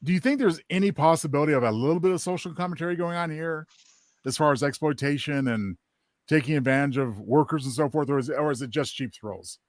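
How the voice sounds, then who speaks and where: A man's voice: a little high, fast, no room sound, studio quality. A man in a casual group discussion, talking in a hesitant, thoughtful way. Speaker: panel guest, writer.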